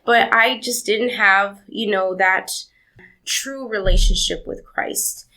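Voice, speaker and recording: Young woman from argentina chatting about her life, bright tone with a lot of fry, kind of rambly. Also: mid-range voice, takes it slowly, rough recording. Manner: warm, rambling storytelling with laughs. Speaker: podcast guest. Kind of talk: interview.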